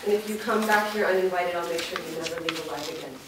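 A woman's voice: lowish voice, fast talker, close to an everyday voice. A woman playing a guarded young woman who firmly sends an old love away, read at a staged reading in an echoey studio. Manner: firm, guarded. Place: large indoor rehearsal studio. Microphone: far camera mic. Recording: noisy echoey studio from far off.

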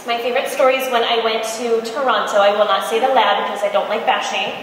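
An American woman giving an informal, chatty conference presentation with lively anecdotes, speaking into a handheld mic on stage. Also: a little high, fast. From Michigan, United States. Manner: chatty, animated storytelling with acted-out quotes. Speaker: entrepreneur speaker, cosmetics brand founder. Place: large indoor expo conference room, on stage. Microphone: handheld microphone through PA, recorded from audience. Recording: very echoey hall, faint background noise.

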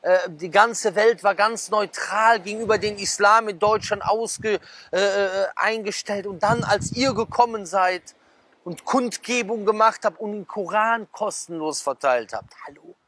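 A man in his thirties speaks outdoors at a garden table, his voice sitting high for a man and recorded dry and close on a clip-on mic. He reads headlines aloud and then bursts into quick, gesturing asides, tossing rhetorical questions at his audience. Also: pushed and bright, dry recording. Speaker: preacher. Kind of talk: casual talk, reading out magazine headlines.

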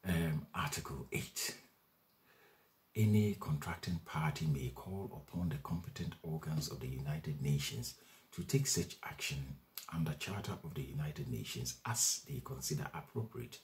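A man in his forties explains a legal convention to a webcam in a small room at home, reading clauses aloud and adding measured comments with frequent fillers and restarts. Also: a bass voice. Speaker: legal educator. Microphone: webcam at desk distance.

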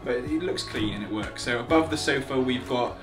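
A man's voice: mid-range voice, a little fast, distant mic. A young man, chatting jokingly while showing viewers around his house. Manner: jokey, chatty, teasing. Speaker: vlogger giving a house tour. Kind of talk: casual house tour chat. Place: small carpeted house, indoors. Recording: echoey room, slightly off-mic, music under.

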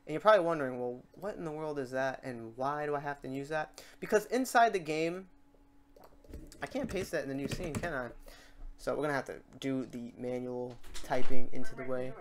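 A man hosting a casual software tutorial, rambling and thinking aloud as he works. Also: mid-range voice, a little fast. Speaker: tutorial host. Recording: clearly noisy mic, keyboard clicks. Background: typing and mouse clicks.

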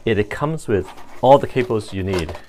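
A man giving a casual, unscripted hands-on product review in a halting, think-aloud manner. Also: lowish voice, expressive, a little mellow, slight background noise.

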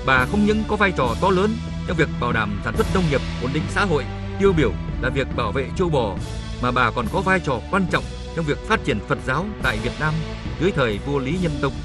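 A man narrating a scripted history programme in a formal, measured way. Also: mid-range voice, animated, music in the background.

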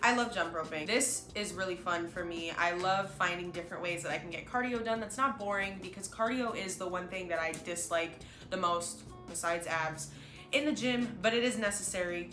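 A woman's voice: fairly low pitch, sharp tone. A young woman with a stuffy nose, chatting upbeat to her viewers as a fitness vlogger, sitting on a couch in a small room. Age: young adult. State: stuffy nose from a sinus infection. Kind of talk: casual vlog show-and-tell. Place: small bedroom with a couch, indoors. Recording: roomy echo, faint music behind.

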